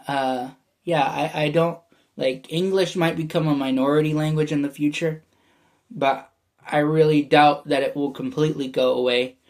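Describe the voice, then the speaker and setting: Rambling young American man, slightly high voice. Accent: American, often heard as Canadian. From United States. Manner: meandering, many fillers and restarts, chuckles. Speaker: vlogger. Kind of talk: casual rambling monologue.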